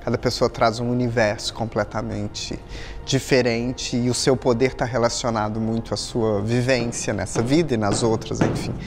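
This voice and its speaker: A man with an ordinary mid-range voice, speaking in a relaxed, reflective way in an interview. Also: mid-range voice, moderate pace.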